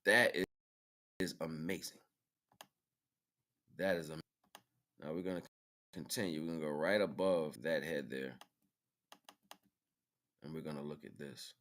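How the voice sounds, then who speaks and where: Deep-voiced man, casual streamer. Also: measured pace, long pauses, a bit bright. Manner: informal slangy talk, repeats phrases for emphasis. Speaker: livestream host. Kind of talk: casual livestream commentary on images.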